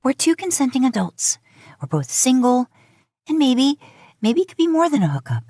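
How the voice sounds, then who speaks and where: A woman plays a smitten young woman in a romance audiobook, earnest and a little flustered. The voice is soft and slightly husky. It is recorded dry, with no room sound. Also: high-pitched, very animated, moderate pace, no room sound, pitched above the performer's own voice, full character voice. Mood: hopeful, reasoning. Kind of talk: romantic fan fiction audiobook dialogue.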